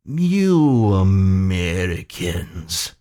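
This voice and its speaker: Man acting an oily, gloating general, slow and menacing. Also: low voice, big pitch swings, dark tone, exaggerated voice. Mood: smug. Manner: sultry, theatrical, deliberate.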